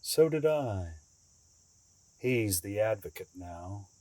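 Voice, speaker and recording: Audio drama schemer, male actor: low, measured, formal and secretive, recorded close and dry. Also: animated, dark tone, dry recording. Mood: guarded. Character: grave, secretive male schemer, an escaped captive bargaining.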